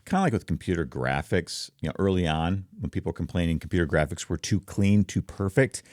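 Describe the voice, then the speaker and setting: A man with a very deep, rumbling voice, explaining things casually and conversationally to viewers, close on a studio microphone. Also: animated, a little fast, a little mellow, no echo. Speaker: content creator, streamer. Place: home office.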